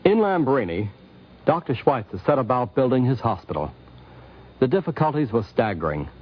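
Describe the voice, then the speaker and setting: Man narrating a 1950s radio documentary, varied pitch. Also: sing-song, normal speed, slight background noise. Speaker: host and narrator. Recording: muffled 1950s radio broadcast.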